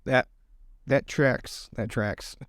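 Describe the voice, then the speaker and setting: A man's voice: mid-range voice, fairly even intonation, slow, a bit bright, no room sound, clean studio sound. A man chatting casually as a player in a tabletop roleplaying game.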